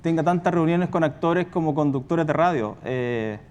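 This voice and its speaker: A man with a Chilean accent and a fairly high voice speaks off the cuff, as on a discussion panel. He rambles colloquially, telling things as anecdotes with many side remarks. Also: animated, normal speed, a little mellow, clear, resonant tone. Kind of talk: spontaneous panel talk.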